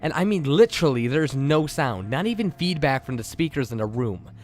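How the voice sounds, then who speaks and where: A man's voice: medium pitch, expressive, brisk. A man hosting and narrating a scripted creepypasta horror story. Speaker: host and narrator.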